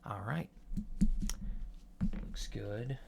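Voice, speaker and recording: A soft-spoken man doing an ASMR roleplay as a calm, hesitant alien doctor, matter-of-fact and clinical. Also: lowish voice, drawn-out words, gravelly. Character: calm, mildly awkward alien doctor giving a checkup. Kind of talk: ASMR medical checkup roleplay. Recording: lo-fi home recording with background noise.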